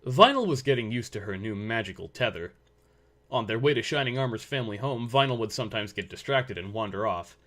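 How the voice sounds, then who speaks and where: A man serves as narrator for a piece of fiction. His voice sits fairly low as he reads the scripted prose. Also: animated, brisk, fairly bright tone.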